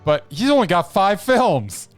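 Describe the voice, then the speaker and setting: A man's voice: high voice, big pitch swings, measured pace, soft background music. A man co-hosting a movie podcast, easygoing and plainspoken. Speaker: podcast co-host. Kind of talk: casual movie podcast chat.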